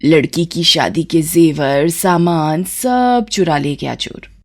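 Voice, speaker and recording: A woman voicing a worried, cautioning village mother in an animated moral story. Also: deep voice, slow pace, pitched below the performer's own voice. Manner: fretful, cautioning.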